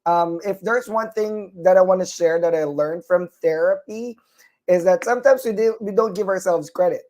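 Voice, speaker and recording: A man's voice: sits high, normal speed, darkish tone, dull audio. A man with a Filipino accent, a nurse practitioner co-hosting a talk show, giving earnest, encouraging advice with many fillers and restarts. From Philippines.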